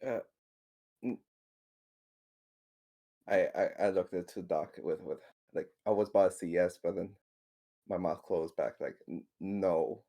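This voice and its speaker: Male RPG player: low, soft-toned, informal, halting delivery with repeated words. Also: steady tone, vocal fry. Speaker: tabletop game player. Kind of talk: casual in-game chat.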